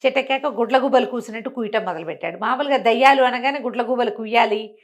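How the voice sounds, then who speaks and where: A woman telling a bedtime story conversationally, in an expressive, explanatory way. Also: a little high, fast talker. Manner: expressive, explanatory, acts out characters' dialogue.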